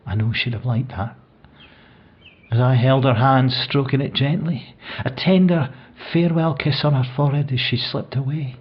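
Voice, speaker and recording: An actor playing an older widowed man in an audio play, grieving and tender, speaking in a hushed, halting, reflective way with a husky voice. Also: mid-range voice, fairly even intonation, lo-fi sound, lightly acted. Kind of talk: audio play dramatic monologue.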